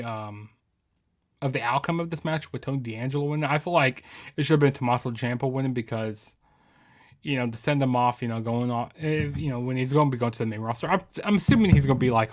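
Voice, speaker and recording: A man giving a casual, rambling spoken review of a wrestling show, full of ums, you-knows and restarts. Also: mid-range voice.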